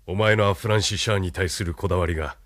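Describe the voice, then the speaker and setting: An actor playing a stern, ruthless military colonel in an audio drama, with a cold, measured, commanding delivery. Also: male voice, deep voice, steady tone, fairly bright tone, no echo, clean studio sound. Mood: stern, controlled. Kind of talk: Japanese audio drama acting.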